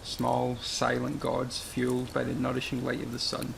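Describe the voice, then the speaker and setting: A man acting a gravelly, solemn mystic. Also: medium pitch, completely flat delivery, slight background noise. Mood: wistful, mournful. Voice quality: gravelly, creaky. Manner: grave, poetic, measured. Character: solemn, mournful man recalling a dying ancient land. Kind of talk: radio play acting.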